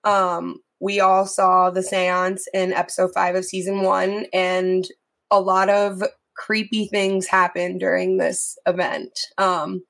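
A woman co-hosting a live-streamed fan podcast, hoarse as she gets over an illness, recapping scenes in a chatty way. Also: fairly low pitch, flat delivery, slow pace, a bit bright. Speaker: podcast co-host. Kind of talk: casual chat.

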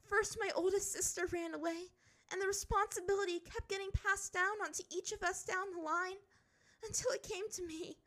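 A woman voicing an emotional, defensive teenage runaway princess in a high, quavering voice, in a storybook reading. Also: steady tone, normal speed, bright, forceful tone. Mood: upset, pleading.

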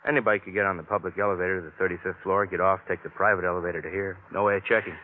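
Male American cop sidekick, acted: low, dry, plain-talking, wisecracking, tinny old-time radio drama recording. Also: little pitch movement, racing, close to an everyday voice. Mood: wry, casual. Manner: wry, casual, wisecracking. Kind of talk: 1950s radio crime drama acting.

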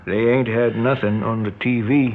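A man's voice: medium pitch, steady tone, moderate pace, a little hiss or hum, natural voice. An actor playing a menacing, folksy rural American father turned kidnapper in a radio drama, drawling with mock friendliness. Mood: taunting. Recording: dull lo-fi radio recording.

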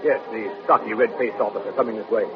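An actor playing a courteous, brisk army colonel with clipped authority in an old-time radio drama. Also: male voice, fairly low pitch, steady tone, lightly acted. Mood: genial. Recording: tinny vintage radio recording with music behind.

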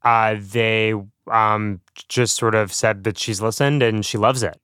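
A man talks casually as an interview guest. His voice is a little low and very clear. The sound is dry and close, as he recollects in an easy conversational style. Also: fairly even intonation, unhurried, bright, forceful tone, no room sound. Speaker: interview guest from a production team.

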